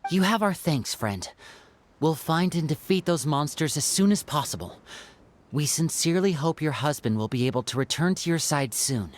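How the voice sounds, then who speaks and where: An actress playing a grave, courteous, dutiful adventurer who speaks formally and deliberately. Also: female voice, low-pitched, expressive. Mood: solemn, reassuring.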